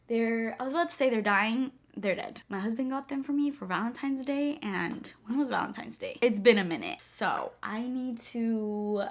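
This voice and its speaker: A young woman talks straight to camera in a bubbly, gushing way, peppering her sentences with "like". Her voice is slightly hoarse. She sounds relaxed and casual, recorded at home. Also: on the high side, moderate pace, hardly any pauses. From moved to the U.S. as a child. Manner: bubbly, gushing, many "like" fillers. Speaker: beauty vlogger. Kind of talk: casual chatty vlog.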